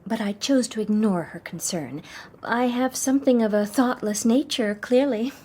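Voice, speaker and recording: An actress playing a poised, sharp-witted young socialite who works secretly as a detective, composed and arch in a radio drama. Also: female voice, on the high side, moderate pace, breathy edge. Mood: knowing, deliberate. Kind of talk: audio drama acting.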